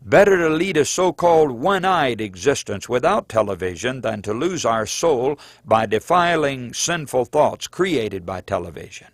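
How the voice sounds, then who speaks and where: A man narrates like a preacher reading his own book. His delivery is earnest and rhetorical, with lively rises and falls in a mid-pitched voice. The sound is dry and close, with very little room around it. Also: dry recording. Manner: earnest, rhetorical, deliberate phrasing. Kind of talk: sermon-like scripted audiobook narration.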